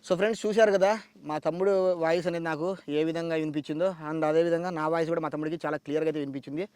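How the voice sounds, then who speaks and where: A man with a Telugu accent narrates a gadget review for his viewers. His voice sits high for a man, and he talks extremely fast in a step-by-step presenting style. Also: fairly even intonation, a little mellow. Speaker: tech reviewer.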